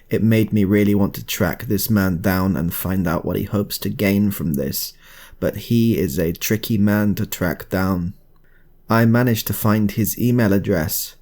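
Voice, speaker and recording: A British man narrating a mock documentary in a deadpan, scripted ASMR style. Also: on the low side. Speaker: host and narrator.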